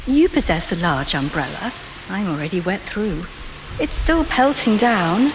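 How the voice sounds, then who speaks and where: Imperious middle-aged woman, wry, slow delivery, lively intonation, faint ambient noise, audio drama. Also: medium pitch. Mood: arch, wry. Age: 40s. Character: worldly, imperious, witty woman of forty.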